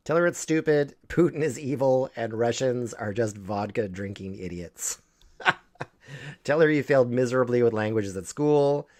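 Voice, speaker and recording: A man's voice: mid-range voice, darkish tone. A man streaming a video game live, reading options aloud and joking sarcastically.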